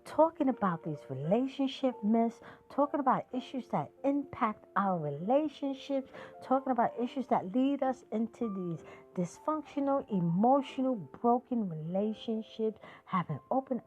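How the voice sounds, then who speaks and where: A woman's voice: on the high side, warm tone, highs cut off. A sixty-year-old woman hosting her own podcast, speaking in a passionate, emphatic monologue that rises and drops as she preaches her point. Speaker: podcast host.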